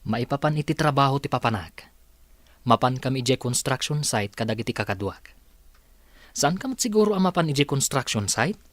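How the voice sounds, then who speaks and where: Man as patient kind young worker, medium pitch, Ilocano accent, radio drama, dry recording. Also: expressive, moderate pace, fairly bright tone, no room sound, lightly acted. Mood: evasive.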